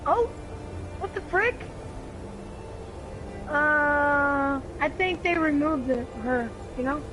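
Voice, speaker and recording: A woman's voice: high voice, takes it slowly, music in the background. A woman chatting casually while playing a video game. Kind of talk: casual chat during gaming. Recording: noisy home recording, clear background noise. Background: background noise.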